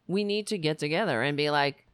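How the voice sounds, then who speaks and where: A woman hosting a political livestream with a worn, raspy voice, talking bluntly and sarcastically while reading the chat. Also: low-pitched, fairly quick, dry recording, clean studio sound. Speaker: livestream show host.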